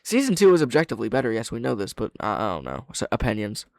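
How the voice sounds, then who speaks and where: A man's voice: fairly low pitch, huge pitch swings, fast talker, gravelly, a little mellow. A man giving casual, off-the-cuff reaction commentary, often interrupting himself and swearing freely. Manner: hesitant, self-interrupting, frequent swearing. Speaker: reaction host.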